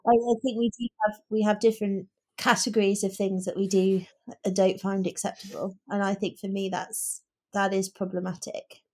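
A woman's voice: mid-range voice, level tone, normal speed, warm tone, breathy edge. A woman co-hosting a book discussion, talking in a reflective, articulate and questioning way. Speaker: podcast co-host, teacher.

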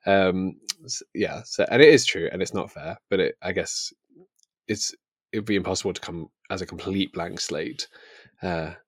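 A man's voice: sits low, moderate pace, slightly rough, no echo. A man in casual book discussion, reflective and thinking aloud as a podcast host.

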